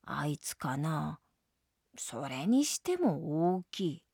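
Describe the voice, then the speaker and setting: A woman voicing a quiet, solitary man in a storybook, murmuring drowsily to himself in wonder. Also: deep voice, big pitch swings, takes it slowly, creaky, breathy edge, dry recording, clean studio sound, pitched below the performer's own voice, full character voice. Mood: hushed wonder.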